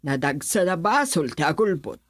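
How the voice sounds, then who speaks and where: A woman's voice: sits low, sing-song, normal speed, no echo, studio quality. A woman playing a stern suspicious aunt. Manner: stern and demanding. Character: stern middle-aged aunt, suspicious mother. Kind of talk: radio drama acting.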